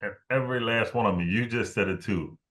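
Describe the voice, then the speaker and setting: A man with a low, deep voice talks as a podcast host in a casual interview. His delivery is halting, and he often repeats words while he works out his thought. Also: a bit bright.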